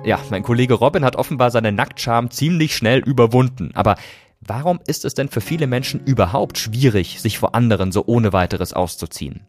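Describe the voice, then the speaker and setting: A man's voice: medium pitch, lively intonation, fairly quick, soft background music. A man hosting a podcast, moving between scripted narration and interview questions. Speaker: podcast host.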